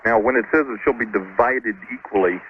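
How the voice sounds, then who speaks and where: A man calling a radio advice show by phone, explaining his problem in a hesitant, halting way. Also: lowish voice. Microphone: telephone. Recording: dull, noisy phone call on radio.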